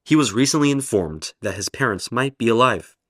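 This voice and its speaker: A man playing an elderly, kindly Oxford professor who speaks in a calm, measured, reassuring way in a radio play. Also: mid-range voice, no room sound, studio quality. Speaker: professor character.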